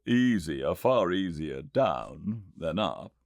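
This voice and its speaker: A man voicing a Victorian gentleman inventor with a British accent, lecturing eagerly and confidently in an emphatic audiobook performance. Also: low-pitched, very animated, creaky, dry recording, studio quality, heavily acted. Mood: eager rebutting. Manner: emphatic, didactic, pauses to make points. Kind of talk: audiobook character dialogue.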